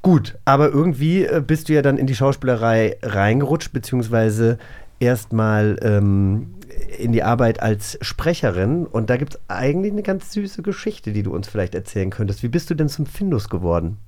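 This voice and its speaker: Man, podcast host, medium pitch, casual interview style, halting phrasing, repeated words. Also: animated, darkish tone.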